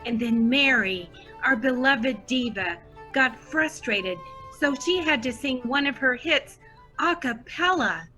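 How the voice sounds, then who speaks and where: Radio drama narrator: devoted female fan played by an actress, bright, forceful, grandly earnest. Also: high voice, animated, slow, faint music behind, heavily acted. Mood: earnest, excited. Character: Petunia Stoneberry, devoted gushing superfan narrating the saga.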